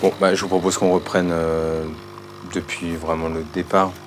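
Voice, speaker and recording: A reserved man with a very low voice , played by an actor, leading a session in an audio drama. He is courteous, understated and slightly hesitant, with clear room noise around him. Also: little pitch movement, moderate pace, natural voice. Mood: polite, reserved. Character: polite, reserved male listener conducting a client's sessions. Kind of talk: naturalistic audio drama dialogue. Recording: clearly noisy room ambience.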